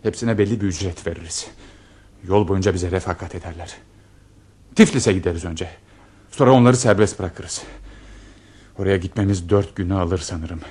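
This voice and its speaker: Radio play lead: earnest, devoted lover on the run, low-pitched voice, protective toward his beloved. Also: normal speed, pauses often, dark tone, breathy edge. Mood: urgent. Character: devoted male lover, protective fugitive planning escape. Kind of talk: radio drama acting.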